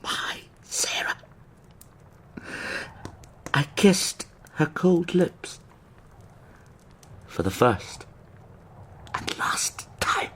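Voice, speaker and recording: An unhinged, high-voiced, lovelorn madman. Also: sing-song, extremely slow, pauses often, fairly bright tone, exaggerated voice. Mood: anguished, adoring. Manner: theatrical, rambling, swooping between whispers and cries. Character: unhinged, lovelorn man descending into raving madness. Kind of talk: period radio drama acting.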